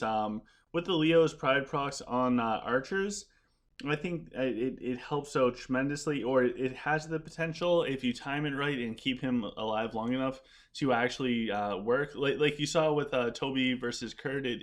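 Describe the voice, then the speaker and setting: A man commentating his game live and answering viewer questions, chatty and rambling, with his voice starting to go. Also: mid-range voice. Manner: chatty, rambling, frequent restarts while playing. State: losing his voice. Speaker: gaming live streamer. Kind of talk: casual live-stream commentary.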